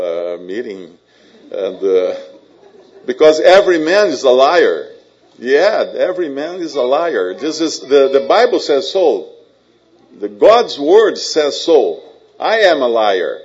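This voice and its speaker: A Brazilian-accented man delivers a sermon in English, his second language, speaking slowly and deliberately. He often stops to restart and repeat his words, and he mixes in light jokes. The recording sounds dull and muffled. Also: mid-range voice, darkish tone. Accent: Brazilian Portuguese. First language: Portuguese. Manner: halting, repeats words, joking asides. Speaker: preacher.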